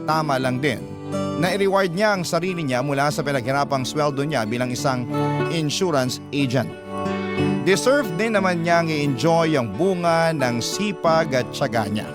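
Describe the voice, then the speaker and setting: A man's voice: fairly high pitch, animated, moderate pace, natural voice. An actor playing a young boyfriend who confides his love story as scripted radio drama narration, earnest and reflective, with a Filipino accent. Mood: sincere, reflective. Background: loud guitar music.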